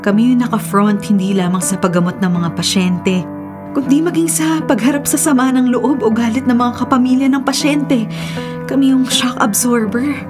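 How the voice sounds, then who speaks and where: An actress playing a weary, sympathetic doctor at a public hospital in a Philippine radio drama. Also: female voice, on the high side, fairly even intonation, normal speed, music in the background, natural voice.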